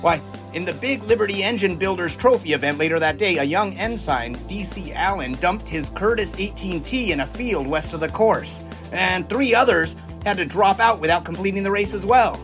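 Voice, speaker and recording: Man playing a jaunty 1920s American pilot, higher-pitched. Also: expressive, moderate pace, a bit bright, faint music behind. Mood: confident, reminiscing. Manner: jaunty, boastful, wordy old-fashioned phrasing. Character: confident, folksy 1920s American test pilot. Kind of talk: audio drama dialogue and first-person storytelling.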